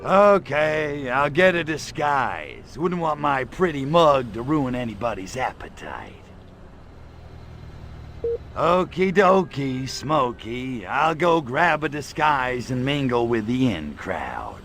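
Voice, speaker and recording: A man voicing an irritable, lecherous little alien invader in a video game, gravelly, with a sarcastic, slangy tough-guy delivery. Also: mid-range voice, sing-song, slow, a bit bright, a little hiss or hum, exaggerated voice. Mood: wry, cocky. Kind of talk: video game cutscene acting.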